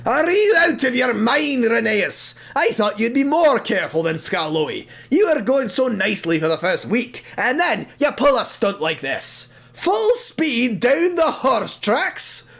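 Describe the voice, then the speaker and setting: An actor playing a stern, exasperated railway boss who scolds and blusters in an audio drama. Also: male voice, very high voice, big pitch swings, unhurried, vocal fry, hardly any pauses, fairly bright tone, full character voice. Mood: scolding.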